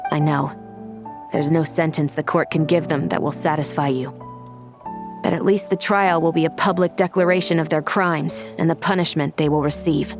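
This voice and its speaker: An actress playing a composed, fair-minded law officer in a video game, speaking in a measured, formal way. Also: female voice, deep voice. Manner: measured, formal, matter-of-fact. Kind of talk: video game character acting.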